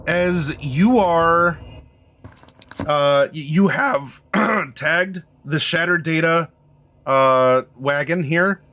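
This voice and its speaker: A man with a squeaky voice narrating a tabletop game as game master, halting and often clearing his throat. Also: pushed and bright.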